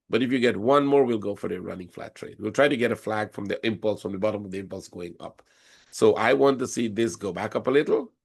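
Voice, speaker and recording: Low gravelly guy with a cold, hoarse, live trading room walkthrough, says things twice. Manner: explains chart patterns step by step, repeating points. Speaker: forex trading instructor.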